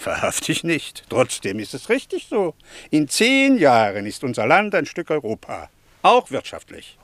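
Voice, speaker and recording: A man's voice: on the high side, wildly swooping pitch, a little slow, a bit bright, no echo, heavily acted. An actor playing an emphatic, opinionated middle-aged Greek townsman in a German radio play, speaking in eloquent, declamatory phrases. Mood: emphatic, impassioned. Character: opinionated Greek townsman, fond of his noisy city.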